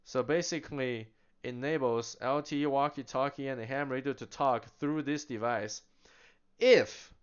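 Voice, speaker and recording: A man with a smooth, resonant voice talks through a product demo in a relaxed, chatty way. He keeps posing questions and answering them himself, with small laughs along the way. The recording is slightly dull and lo-fi. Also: mid-range voice, normal speed. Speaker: product demonstrator. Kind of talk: casual product demonstration.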